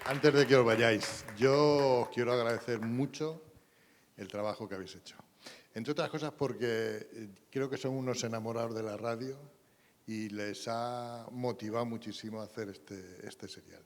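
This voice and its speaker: A man's voice: medium pitch, fairly even intonation, normal speed. A man giving an informal speech of thanks at a public event. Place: large indoor hall at a public event. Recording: echoey hall, audience noise behind.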